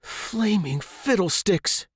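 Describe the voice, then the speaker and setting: Man acting a flustered gentleman explorer, pitched up. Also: lively intonation, slow, breathy edge, dry recording, clean studio sound, heavily acted. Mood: alarmed. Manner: exclamatory, old-fashioned politeness. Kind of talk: storybook character dialogue.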